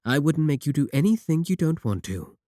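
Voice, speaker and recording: A man playing a courteous, manipulative warlock shopkeeper in a roleplay audio, coaxing and flattering his guest with polite, unhurried talk. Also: a little high, no room sound, studio quality. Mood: smooth, persuasive. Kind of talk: second-person roleplay audio acting.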